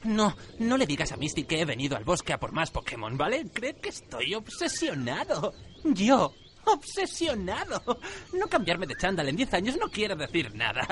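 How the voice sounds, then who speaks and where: A man playing an obsessive, excitable monster trainer in his twenties in a comic radio play. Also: sits high, moderate pace, a little hiss or hum, heavily acted. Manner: manic, excitable.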